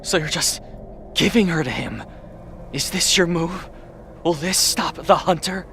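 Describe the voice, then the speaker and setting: A man's voice: a little high, measured pace, gravelly, a bit bright, a little hiss or hum. A man playing a brooding, jealous god of death in an audio drama, speaking with an intense, challenging manner. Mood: angry. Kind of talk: scripted audio drama acting. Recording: music under the voice. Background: music.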